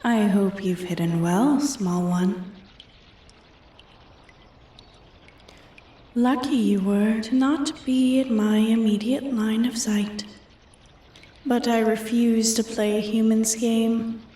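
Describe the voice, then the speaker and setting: Woman voicing a slow, menacing ancient she-dragon, echoey. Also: a little high, mellow. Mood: quiet menace. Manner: slow, haughty, taunting, often dropping to a whisper. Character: ancient arrogant female dragon, menacing and predatory. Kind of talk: roleplay ASMR, scripted dramatic monologue. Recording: echoey, distant from the mic.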